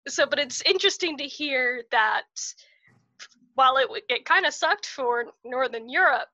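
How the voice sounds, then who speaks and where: A woman's voice: sits high, lively intonation, normal speed, sharp tone, dry recording, lo-fi sound. A woman hosting an interview, chatting casually with lots of fillers and laughter. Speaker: podcast host.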